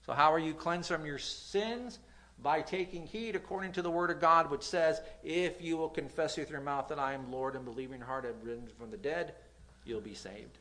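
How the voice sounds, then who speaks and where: A man with a nasal voice preaching a sermon, reading scripture aloud and swinging between loud emphasis and quiet asides, with an occasional stutter. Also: on the high side, moderate pace, poor audio. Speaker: pastor.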